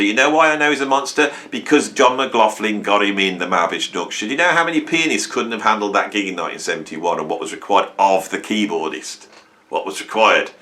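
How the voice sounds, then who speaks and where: A man's voice: fairly low pitch, bright, forceful tone. A man in his sixties hosting a music channel, talking casually to camera, enthusiastic and self-mocking with lots of restarts. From Britain.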